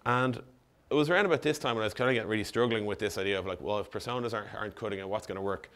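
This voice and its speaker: A man presents informally to an audience. His slightly worn voice sits on the low side, and he talks very quickly. He is casual, dropping in asides and acting out bits of dialogue as he goes. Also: fairly bright tone. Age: 30s. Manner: casual, full of asides and quoted dialogue, mild swearing. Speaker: startup founder giving a conference talk. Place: on stage in an indoor event room. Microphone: lapel mic.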